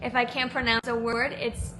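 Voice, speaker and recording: A woman's voice: a little high, flat delivery, normal speed, a bit bright, slight background noise, low-quality recording. A woman in her twenties from Miami with a Miami accent, chatting casually and gushing to camera in a Q&A vlog from her room. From Miami, USA. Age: 20s. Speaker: vlogger. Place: bedroom with Disney ears on the wall.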